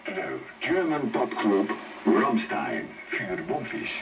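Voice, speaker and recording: Slow, lively radio DJ over shortwave. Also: male voice, fairly low pitch. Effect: heard through a shortwave radio. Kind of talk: laid-back radio presenting over music. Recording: dull lo-fi shortwave broadcast over music.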